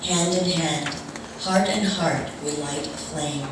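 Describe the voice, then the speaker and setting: Low-voiced, slow woman in an echoing hall. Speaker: service speaker giving announcements and readings. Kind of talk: church announcements and reading aloud. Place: large reverberant room at a church service. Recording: very echoey, noisy room, distant mic.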